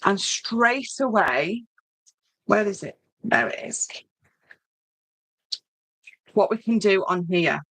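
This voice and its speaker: Low-pitched Yorkshire woman, chatty crafter. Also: pushed and bright, no room sound, rough recording. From Yorkshire, northern England. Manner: chatty, thinking aloud, tag questions. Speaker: craft tutorial host. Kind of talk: informal live craft demonstration, chatting to viewers.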